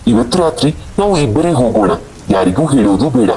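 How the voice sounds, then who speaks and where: A man playing a determined, steady village man in a cartoon horror story, speaking reassuringly. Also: a little fast, close to an everyday voice, low-quality recording. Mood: suspicious, resolute. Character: Ramesh, determined calm village man investigating a haunted house. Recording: faint rain and rumble behind voice.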